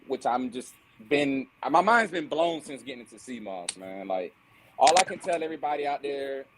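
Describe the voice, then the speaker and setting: A man with a South Carolina Lowcountry Geechee accent, chatting earnestly about sea moss on a group video call, often restarting his words. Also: low-quality recording. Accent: Gullah Geechee, South Carolina Lowcountry. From South Carolina sea islands. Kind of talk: casual group chat on a call.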